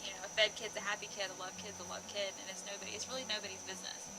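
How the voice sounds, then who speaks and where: Fast-talking blunt young woman. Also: medium pitch, flat delivery, heavy background noise. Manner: blunt, emphatic, frequent swearing. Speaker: host of a parenting discussion vlog. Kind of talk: casual opinionated rant. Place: indoor room, seated in a group.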